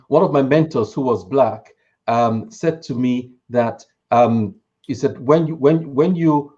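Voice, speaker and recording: Male panellist, medium pitch, thoughtful halting delivery, slightly dull recording. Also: darkish tone. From Nigeria. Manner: measured, hesitant, careful phrasing. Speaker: church leader on a panel. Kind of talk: panel discussion, measured anecdote.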